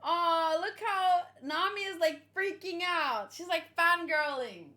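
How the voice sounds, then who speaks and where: A woman giving excited, rambling reaction commentary, emotional and full of gushing exclamations. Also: extremely high pitch, slow pace. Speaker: host of a manga read-along reaction.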